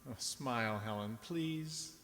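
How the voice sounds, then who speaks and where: Man acting an earnest doctor, bright voice. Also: medium pitch. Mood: gentle, apologetic. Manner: halting, earnest, pleading. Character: earnest, kindly, urgent male family doctor. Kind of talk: radio drama acting. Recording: reverberant hall.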